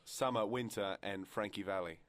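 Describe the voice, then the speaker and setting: A young man co-hosting a radio show, trading deadpan jokes with hesitant fillers. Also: lowish voice, normal speed. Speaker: radio co-host. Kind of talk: casual radio banter.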